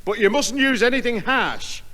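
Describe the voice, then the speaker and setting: A bumbling word-mangling neighbour. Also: male voice, very high voice, moderate pace. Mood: genial. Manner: pompous, misuses long words. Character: bumbling middle-aged male neighbour, muddles big words. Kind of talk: 1960s radio comedy acting. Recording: hissy radio recording, loud studio audience.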